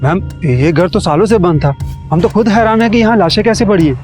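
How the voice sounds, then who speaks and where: A man's voice: high voice, animated, a little fast, over music. An actor playing an evasive male neighbour who denies knowing anything. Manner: defensive, evasive. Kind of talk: television crime drama acting.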